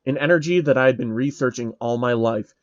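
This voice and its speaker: A man's voice: mid-range voice, fairly quick, no room sound, lo-fi sound, lightly acted. A man voicing a grandiose, theatrical male game villain who declaims pompously in a story reading.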